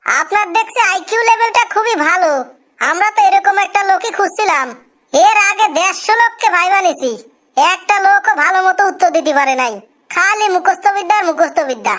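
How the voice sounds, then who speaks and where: Cartoon interviewer: extremely high voice, Bangladeshi accent, tired, grumbling, rustic, easily impressed. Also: female voice, expressive, brisk, a bit bright. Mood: pleased, approving. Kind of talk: comic cartoon dialogue.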